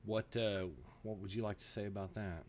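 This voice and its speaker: Low-voiced man hosting an interview. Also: level tone, moderate pace, a little mellow. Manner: affirming, prompts with questions. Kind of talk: casual interview.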